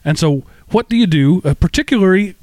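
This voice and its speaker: A man's voice: high-pitched, animated, brisk, darkish tone, no echo. A man hosting a casual tech podcast, chatty and self-deprecating, with lots of fillers and restarts. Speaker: podcast host.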